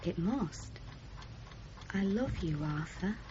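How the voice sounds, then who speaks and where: An actress playing a troubled, tender but easily hurt young wife in a 1970s radio drama. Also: female voice, on the low side, lively intonation, slow pace. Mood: tender, soothing. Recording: dull old radio recording, faint noise.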